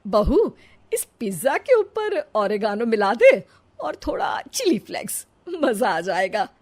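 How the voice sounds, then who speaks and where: A woman's voice: a little high, very animated, slow, heavily acted. A woman voicing a cheerful, bossy, modern-minded village mother-in-law in a Hindi cartoon story, breezy and instructing.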